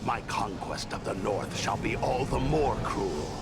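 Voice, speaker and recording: A man playing an arrogant, menacing dark sorcerer in a fantasy game, with a scornful, grandiose delivery. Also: on the low side, expressive, measured pace. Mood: wrathful contempt. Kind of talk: theatrical fantasy game villain acting. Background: loud music.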